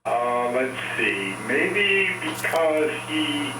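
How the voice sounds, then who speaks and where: A man's voice: on the high side, big pitch swings, very slow, very echoey, distant mic, music in the background, low-quality recording. A man calling in to a talk show, joking around but hesitant and fumbling when pressed for answers. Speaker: caller.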